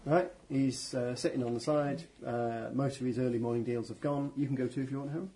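A man's voice: mid-range voice, fairly even intonation, brisk, warm tone. A man narrating as game master at a tabletop game with friends, rambling and playful, with fillers.

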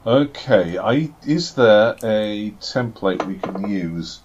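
A man with a fairly low voice chats casually as a game master, stumbling over restarts and fillers. His humour is dry and self-deprecating. The recording sounds slightly dull and lo-fi. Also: lively intonation, slow, slightly rough. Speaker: game master and podcast co-host. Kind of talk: casual chat and tabletop game setup.

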